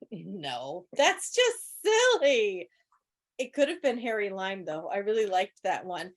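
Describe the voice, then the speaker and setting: High-voiced woman hosting casual trivia. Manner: wry, joking asides. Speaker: quiz host. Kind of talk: casual live trivia commentary. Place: online video meeting.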